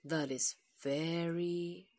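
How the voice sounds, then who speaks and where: A woman voicing a big, gentle, loving grown-up male hare in a storybook, tender, measured and reassuring. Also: on the low side, steady tone, slight background noise.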